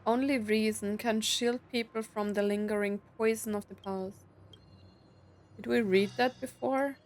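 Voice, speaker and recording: A woman's voice: mid-range voice, fairly even intonation, measured pace, slight background noise. A woman game streamer casually commentating and reading game text aloud as she plays.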